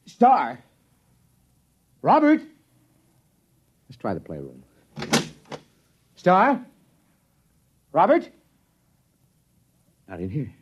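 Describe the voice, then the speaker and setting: A man's voice: a little high, wildly swooping pitch, extremely slow, long pauses, background noise, exaggerated voice. An American actor playing a bewildered, earnest middle-aged father who also narrates, in mid-century radio drama style. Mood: stern, urgent. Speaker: narrator and lead character. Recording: muffled vintage radio recording, music.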